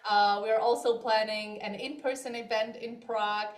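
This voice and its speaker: A woman's voice: fairly high pitch, steady tone, moderate pace, reverberant. A woman education advisor hosting a livestream, talking in a friendly, casual way with fillers. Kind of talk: livestream presentation. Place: Moravia, Czech Republic.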